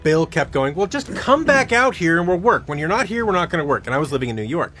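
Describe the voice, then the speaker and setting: A man's voice: on the high side, big pitch swings, fast, fairly bright tone, soft background music. A man talking casually as an interview guest, an audio drama producer who tells stories by acting out the exchanges. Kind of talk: casual chat.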